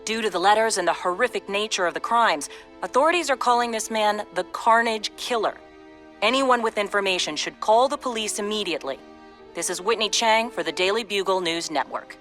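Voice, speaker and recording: An actress playing a formal, measured television news reporter in a video game, delivering a scripted report. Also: female voice, medium pitch, a bit bright, soft background music, natural voice. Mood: serious.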